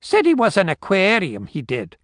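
A man's voice: high-pitched, huge pitch swings, moderate pace, dry recording, clean studio sound, pitched above the performer's own voice. A man voicing a gossipy old woman shopkeeper who scolds and wags her finger. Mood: wry.

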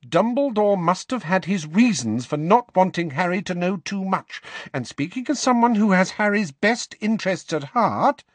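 A man voicing a fierce, fussy, protective middle-aged mother in an audiobook, indignant and shrill when angry. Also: big pitch swings, normal speed, dry recording. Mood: insistent, fierce.

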